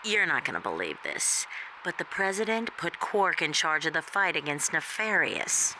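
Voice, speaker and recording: A woman playing a composed, friendly young mission officer, conversational and briskly informative. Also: low voice, very animated, noisy background. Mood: composed, amused.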